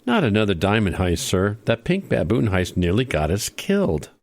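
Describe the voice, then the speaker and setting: Man acting a low-voiced bumbling radio show dad. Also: wildly swooping pitch, normal speed, gravelly, darkish tone, dry recording, full character voice. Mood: hesitant, polite. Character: bumbling middle-aged dad hosting a family radio show, eager. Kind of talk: comedy radio play acting.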